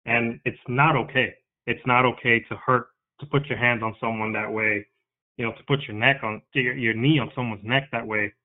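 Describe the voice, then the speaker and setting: A man's voice: mid-range voice, steady tone. Earnest man on a tinny line. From New York. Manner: earnest, halting, emotional. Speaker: interview guest. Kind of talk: candid interview talk.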